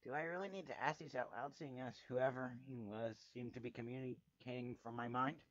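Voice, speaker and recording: A man's voice: medium pitch, fairly even intonation, brisk, fairly bright tone, dry recording, dull audio. A man reading a first-person story dramatically, in a theatrical, sardonic manner with the odd stumble over words. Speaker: amateur fanfiction reader.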